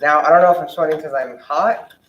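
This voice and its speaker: A man's voice: fairly high pitch, fairly quick, muffled recording. A young man chatting loosely and joking as a co-host in a hot studio. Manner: joking, loose, laughs often. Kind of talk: casual chat. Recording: echoey room with loud noise.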